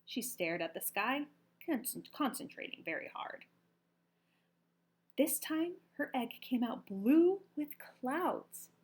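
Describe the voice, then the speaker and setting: A woman reading a children's story aloud with expressive, emphatic narration. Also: high voice, takes it slowly. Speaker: storybook reader.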